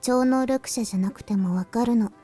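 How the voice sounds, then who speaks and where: A woman's voice: fairly high pitch, racing, mellow, husky. A woman voicing a modest, matter-of-fact schoolgirl in a visual novel game. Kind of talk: Japanese visual novel voice acting. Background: game music.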